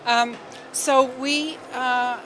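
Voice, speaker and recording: A woman's voice: high voice, steady tone, extremely slow, over music. A woman speaking as an interview guest, telling stories in an enthusiastic, effusive, conversational way. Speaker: novelist and radio drama writer, interview guest.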